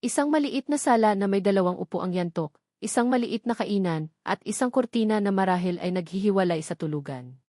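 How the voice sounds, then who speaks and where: Female Filipino storyteller for romance audiobook, dramatic scripted read, mid pitch, close dry recording. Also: animated, a little fast, darkish tone, dry recording. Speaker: audiobook narrator.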